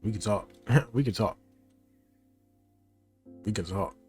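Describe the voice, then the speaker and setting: A man's voice: fairly low pitch, lively intonation, slow, darkish tone, husky. A man giving casual, slangy livestream reaction commentary, often repeating short phrases. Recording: game music behind the voice.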